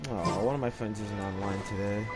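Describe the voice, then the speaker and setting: A man hosting a casual game let's play, congested, talking in a rambling, halting way. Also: fairly low pitch, fairly even intonation. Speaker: gaming channel host. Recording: game music loud behind.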